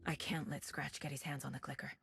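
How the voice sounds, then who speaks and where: An actress playing a focused, questioning investigator in a video game, reasoning things out in a pensive, often hushed voice. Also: female voice, low voice, level tone, fast talker, creaky, husky, dry recording, heavily acted. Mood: focused, concerned. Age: adult. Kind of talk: introspective game cutscene monologue.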